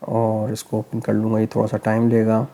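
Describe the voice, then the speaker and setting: A man with a sore, hoarse throat, walking through a computer tutorial step by step in a relaxed, explaining way, often pausing to check in. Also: on the low side, slight background noise. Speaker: tech tutorial host. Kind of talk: screen-recorded tutorial narration.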